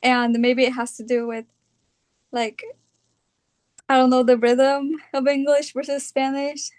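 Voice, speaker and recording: A young woman from California with a California accent, talking about her own experience in a reflective, hesitant way, often pausing on fillers. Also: sits high, flat delivery. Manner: hesitant, thinking aloud with fillers. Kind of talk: reflective personal talk.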